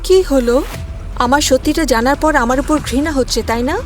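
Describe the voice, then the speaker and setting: Woman voicing a high-pitched anxious young mermaid bride. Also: normal speed, faint music behind, natural voice. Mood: earnest. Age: young adult. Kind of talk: Bengali fairy-tale cartoon acting.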